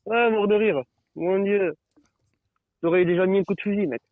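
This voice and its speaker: A man's voice: high voice, normal speed. A man on the receiving end of a phone call, giving casual, slangy, clipped and repeated replies, unbothered. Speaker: prank call target. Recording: dull lo-fi phone line.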